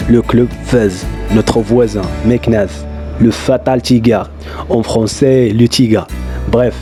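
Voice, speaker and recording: Mellow-voiced Moroccan man vlogging. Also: medium pitch. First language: Darija. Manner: chatty, loose non-native French, frequent fillers. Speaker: YouTuber. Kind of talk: casual vlog storytelling.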